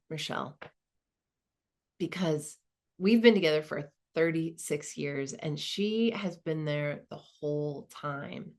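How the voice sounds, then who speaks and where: A woman playing a reflective, earnest professor in her sixties, in a halting, conversational stage monologue. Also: low voice. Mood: grateful. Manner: halting, thoughtful, colloquial. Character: reflective, earnest 64-year-old woman, professor nearing retirement. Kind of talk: dramatized first-person monologue, conversational.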